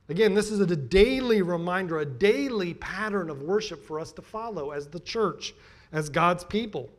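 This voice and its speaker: A middle-aged American man giving a sermon as a pastor, emphatic and deliberate in his delivery. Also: sits high, a bit bright. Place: church pulpit, indoors.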